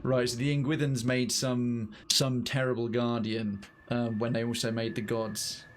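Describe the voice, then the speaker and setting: A man's voice: medium pitch, level tone, a little slow. A male streamer with a mid-range voice gives casual, rambling game commentary. He is wry and chats to viewers over faint music. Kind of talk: casual let's play commentary, chatting to viewers.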